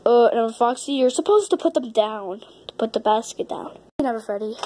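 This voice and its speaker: A woman voicing an excitable, gushing, bickering toy fox in an improvised play skit. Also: fairly high pitch, normal speed. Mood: grumpy, bickering. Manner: gushing, gasping, shrieking, bickering.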